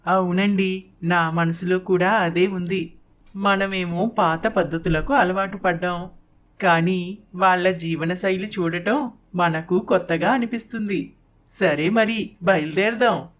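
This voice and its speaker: A woman voicing a mild, patient older village wife and mother in an animated moral story, with a homely, soothing manner. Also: fairly low pitch, takes it slowly. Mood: agreeable.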